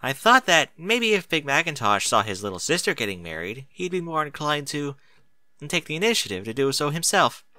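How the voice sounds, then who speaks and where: A man acts out a coy, chatty storybook mare, playfully impatient, with a lively, swooping delivery. The sound is bright and dry. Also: a little high, brisk, no echo, pitched above the performer's own voice, heavily acted. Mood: giggly, eager.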